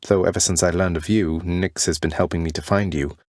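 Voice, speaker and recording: A man playing an earnest, defiant young prince of the underworld in a video game, speaking in formal, old-fashioned wording with halting, heartfelt delivery. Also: deep voice, steady tone, a little mellow, no room sound, studio quality, lightly acted. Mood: explaining, earnest. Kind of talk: video game character dialogue, dramatic acting.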